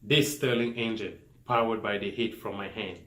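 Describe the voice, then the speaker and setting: A man's voice: lowish voice, steady tone, moderate pace, pushed and bright, slight background noise. Earnest young Cameroonian man presenting. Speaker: student presenter. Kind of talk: scripted science presentation.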